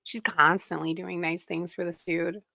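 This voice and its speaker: A woman's voice: on the low side, level tone, moderate pace, vocal fry, bright, forceful tone, no room sound, clean studio sound. A woman giving casual, wry reaction commentary on a video, with critical asides. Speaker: reaction streamer.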